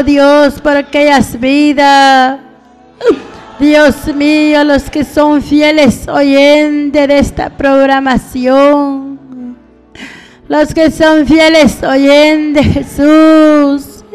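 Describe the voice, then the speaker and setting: High-pitched woman praying fervently over faint music. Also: flat delivery, extremely slow. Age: middle-aged or older. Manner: pleading, repeatedly calling out to God in short phrases. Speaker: evangelical preacher praying on a radio programme. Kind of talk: fervent spontaneous intercessory prayer.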